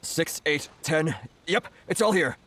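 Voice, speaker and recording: An actor playing a polite, earnest older merchant in a video game, timid and easily flustered. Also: male voice, fairly high pitch, expressive, measured pace, fairly bright tone, slight background noise. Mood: relieved. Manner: stammering, polite. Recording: music behind the voice.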